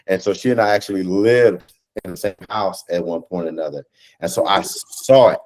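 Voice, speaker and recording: An American man in his thirties speaking earnestly as an interview guest on a video call, halting and repeating phrases for emphasis. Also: deep voice, darkish tone, low-quality recording. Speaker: guest, stage play cast or crew member. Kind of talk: reflective conversational interview. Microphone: video call microphone.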